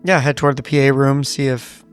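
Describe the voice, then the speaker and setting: A man's voice: medium pitch, steady tone, fairly quick, clear, resonant tone. A man taking part as a player in a tabletop roleplaying game, chatting casually in and out of character.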